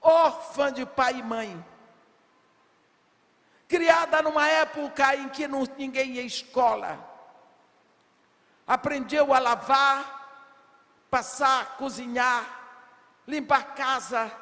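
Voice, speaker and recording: An older woman preaching a sermon to a women's congress, emphatic, with rhetorical questions and bursts of shouting. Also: high-pitched. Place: large church hall. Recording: long reverb of a big hall.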